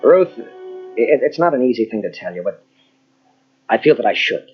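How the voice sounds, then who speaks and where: An actor playing a grave, earnest psychologist in an old-style radio mystery, husky and deliberate, with dramatic pauses. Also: male voice, medium pitch, sing-song, faint music behind, close to an everyday voice. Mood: grave, urgent. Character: earnest, grave male psychologist confronting a killer. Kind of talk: 1940s radio mystery acting. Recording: dull lo-fi old radio tape.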